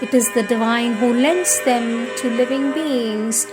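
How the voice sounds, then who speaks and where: Slow, higher-pitched woman reading over music. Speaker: reader. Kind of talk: slow devotional scripted reading.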